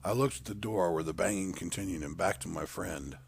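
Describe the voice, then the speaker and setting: A man's voice: deep voice, a little fast, gravelly, husky. A man reading a first-person story in a scripted narration style, stressing the openings of his phrases dramatically. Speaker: audiobook narrator reading fiction. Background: music.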